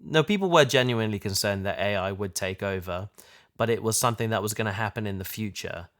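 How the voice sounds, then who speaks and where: A man's voice: fairly low pitch, fast talker, fairly bright tone. A man hosting a podcast, chatting casually at home as someone who makes the show. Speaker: podcast host, show creator. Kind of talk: casual chat. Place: in his house.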